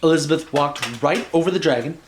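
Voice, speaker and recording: A man reading a children's bedtime story in an animated style, with wry, joking casual asides. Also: on the high side, fairly quick, background noise.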